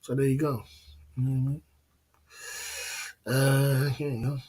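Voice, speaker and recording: A man's voice: medium pitch, flat delivery, takes it slowly, darkish tone. Boastful man, livestream talk. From England. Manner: repetitive, boastful, acts out dialogues. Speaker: livestream host.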